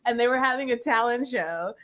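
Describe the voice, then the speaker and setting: A woman's voice: on the high side, lively intonation, fairly quick, a bit bright. A woman chatting casually and candidly as a podcast guest. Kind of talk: casual chat.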